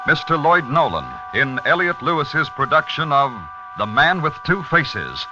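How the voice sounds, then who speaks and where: A man giving a drawn-out, suspenseful 1950s radio introduction as the show's announcer. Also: fairly high pitch, big pitch swings. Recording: dull lo-fi radio with loud music.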